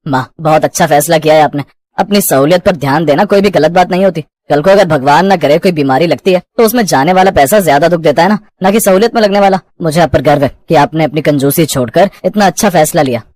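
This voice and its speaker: A man's voice: a little high, quick pace, darkish tone, no echo, lightly acted. A man playing an earnest, supportive adult son and husband in a family story. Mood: proud, earnest.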